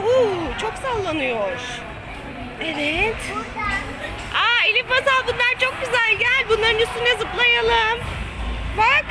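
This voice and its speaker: A woman giving playful, exclamatory running commentary to a child, coaxing and encouraging while she moves around a play area. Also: extremely high pitch. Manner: physically active while talking. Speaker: family vlog host playing along with a child. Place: play park obstacle course. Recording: noisy play area ambience.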